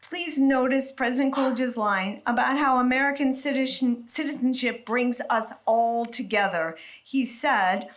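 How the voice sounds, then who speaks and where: A woman hosting a formal ceremony, giving measured, welcoming remarks to the audience. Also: sits high. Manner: measured, welcoming delivery with read-out quotes. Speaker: ceremony host.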